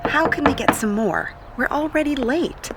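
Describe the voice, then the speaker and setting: A woman, playing a young magazine employee in a scripted language-course dialogue, chatty and lively. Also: sits high, normal speed, heavy background noise, close to an everyday voice. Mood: exasperated.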